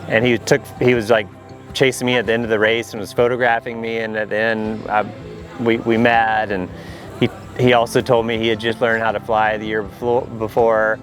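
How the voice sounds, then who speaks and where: A man's voice: fairly low pitch, little pitch movement, normal speed, soft background music. A man, an adaptive paraglider pilot, speaking plainly and matter-of-factly in a documentary interview.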